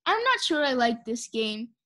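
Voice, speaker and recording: A woman's voice: on the high side, big pitch swings, a little slow, pushed and bright, exaggerated voice. A woman voicing a reluctant, complaining young male dragon in a storybook. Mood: sulky. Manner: complaining, resigned.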